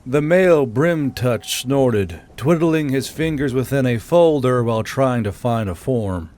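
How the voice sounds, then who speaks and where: A man's voice: medium pitch, animated, unhurried. A man reading scripted fiction narration as the narrator of an audiobook. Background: soft background music.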